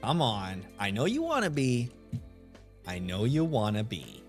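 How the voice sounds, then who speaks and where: A man's voice: huge pitch swings, unhurried. A man hosting a live game stream, chatting casually with viewers and greeting them by name. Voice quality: deep radio-style voice. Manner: laid-back, chatty, greets chat members by name. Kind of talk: live stream chat while shiny hunting. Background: soft lo-fi music.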